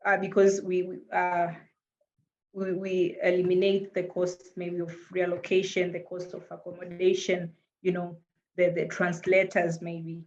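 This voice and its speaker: A woman's voice: on the low side, completely flat delivery, unhurried, a little mellow, lo-fi sound. A woman from Tanzania with a Tanzanian accent, a native Swahili speaker, answering earnestly as a panelist and often repeating words as she searches for them. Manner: earnest, hesitant with frequent repeated words and fillers.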